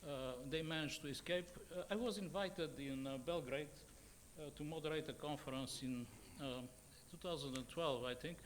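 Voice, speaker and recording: A man in his sixties with a Bulgarian accent, speaking off the cuff as a journalist on a conference panel, haltingly and with many fillers and repeats. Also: on the high side, a little hiss or hum. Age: 60s. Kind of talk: unscripted panel remarks.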